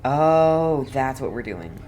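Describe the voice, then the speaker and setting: Female game streamer, extremely low register, casual and slow, thinks out loud. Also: sing-song, slight background noise. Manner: thinking aloud, repeating words. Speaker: gaming video host. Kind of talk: casual playthrough commentary.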